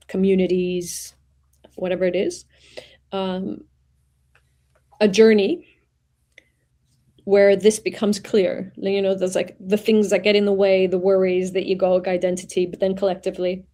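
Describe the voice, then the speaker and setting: Reflective woman in her forties. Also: mid-range voice. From Venezuela. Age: 40s. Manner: reflective, searching for words, gentle pace. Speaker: guest, executive coach. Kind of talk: conversational interview.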